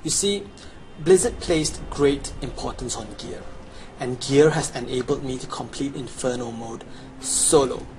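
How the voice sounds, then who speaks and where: A young man narrates a video game guide as a scripted sales pitch. His voice sits at a middle pitch. There is audible noise in the recording. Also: measured pace, darkish tone. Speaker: gamer presenting a gold-making guide. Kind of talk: scripted promotional voice-over with game commentary. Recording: clearly noisy home recording.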